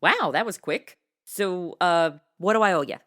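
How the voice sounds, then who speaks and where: A woman's voice: on the low side, lively intonation, measured pace, fairly bright tone. A woman playing a flippant, impatient young female sleuth, sarcastic and slangy, in a comedy audio drama.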